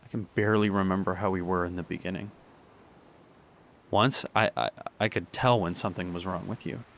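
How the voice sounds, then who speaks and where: A young man playing a heartbroken boyfriend in an audio drama monologue, speaking earnestly and haltingly. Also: low voice, fairly even intonation, vocal fry, a little hiss or hum. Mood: bitter, longing. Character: heartbroken young boyfriend pleading with his distant partner.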